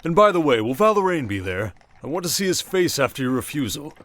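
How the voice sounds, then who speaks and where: A man playing a shrewd, confident king in an ASMR roleplay drama, speaking in a measured, wry and protective way. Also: fairly high pitch, very animated, a bit bright. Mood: teasing, smug.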